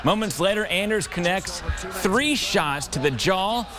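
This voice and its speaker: High-voiced male sports news reporter over music. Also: lively intonation, a little slow. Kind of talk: scripted sports news narration and highlights commentary.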